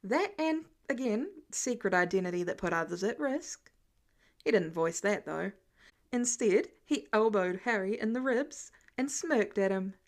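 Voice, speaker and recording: A woman's voice: mid-range voice, lively intonation, unhurried. A woman narrating a story in a scripted read-aloud style. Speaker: podfic narrator.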